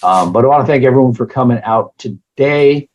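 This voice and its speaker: A hoarse man hosting an online meeting, chatting in a rambling way with lots of fillers. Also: medium pitch, brisk, darkish tone. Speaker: meeting host. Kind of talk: casual meeting opener. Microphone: computer mic on a video call. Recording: dull, muffled video call audio.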